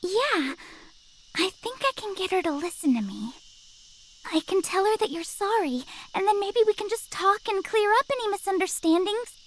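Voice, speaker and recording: Very high-pitched, timid schoolgirl. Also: expressive, normal speed. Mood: earnest, anxious. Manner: hesitant, awkward, stammering. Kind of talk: video game voice acting.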